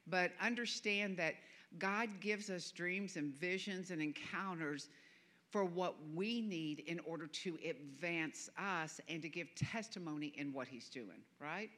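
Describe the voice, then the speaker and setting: Bright chatty Southern woman preacher. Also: fairly low pitch, measured pace. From American South. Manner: chatty, self-deprecating, laughing often. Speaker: guest minister. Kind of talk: sermon. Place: church sanctuary.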